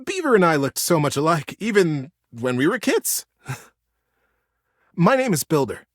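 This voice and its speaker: Man doing a high, genial, carefree beaver. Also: sing-song, a little slow, no room sound, studio quality. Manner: cheery, easygoing. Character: genial, carefree male beaver stranger, always smiling.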